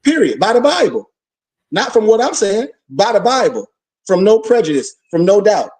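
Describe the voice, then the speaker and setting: High-voiced man preaching a lecture. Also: big pitch swings, moderate pace, darkish tone, distant mic. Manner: preachy, rhetorical questions, call-and-response. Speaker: religious teacher. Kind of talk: informal lecture.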